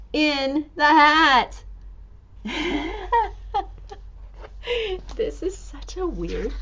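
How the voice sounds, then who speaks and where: A woman storyteller reading a picture book to young children in an animated, exclamatory way, full of expressive wonder. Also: sits high, dull audio. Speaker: storytime reader and teacher.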